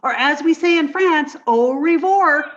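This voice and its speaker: A woman's voice: high voice, unhurried, lo-fi sound. A woman playing a sweet, scatterbrained young secretary in a 1950s-style radio comedy, with a breathless, dizzy, sing-song delivery. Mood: cheerful, dizzy.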